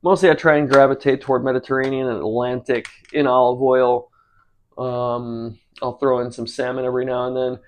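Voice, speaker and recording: A man's voice: mid-range voice, brisk. A man in his forties from the United States, chatting casually and rambling with many fillers while he cooks on his channel. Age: 40s. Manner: rambling, relaxed, many ums and uhs. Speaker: cooking channel host. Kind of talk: casual talk while cooking.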